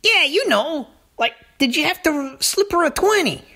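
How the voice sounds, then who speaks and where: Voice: high voice, expressive, normal speed, bright, forceful tone, voice pushed up, full character voice. A performer voicing a small, wary talking lizard in a comedy skit, repeating words and speaking in uncontracted phrases. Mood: incredulous, prying. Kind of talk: comedy puppet-style dialogue.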